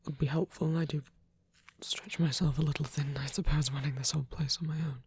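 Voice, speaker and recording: A performer voicing a gentle, shy, protective male vampire priest in an intimate ASMR roleplay, soft and breathy, speaking in hesitant, tender phrases. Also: medium pitch, fairly even intonation, a little fast, slight background noise, lightly acted. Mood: hesitant, hopeful.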